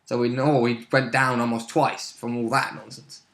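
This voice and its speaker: Sharp, bright man in an echoey room. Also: medium pitch, level tone, moderate pace. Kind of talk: casual animated chat. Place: indoor room. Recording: roomy echoing home recording.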